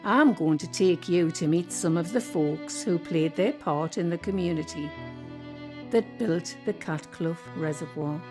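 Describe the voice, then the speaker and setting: A woman's voice: lowish voice, over music, close to an everyday voice. A woman playing a bossy Northumbrian ghost landlady. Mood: proud. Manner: scolding, bossy, quick to defend herself. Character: sharp-tongued ghost of an old landlady. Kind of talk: community radio play acting.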